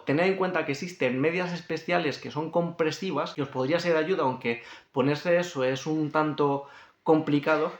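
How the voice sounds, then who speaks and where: This is a man with a European Spanish accent and a fairly high, noticeably creaky voice. He explains things informally, like a coach instructing listeners, running his sentences on and circling back to reminders. The room around him is clearly echoey. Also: dull audio. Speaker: fitness coach presenting a training guide. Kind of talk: informal explanatory talk to camera.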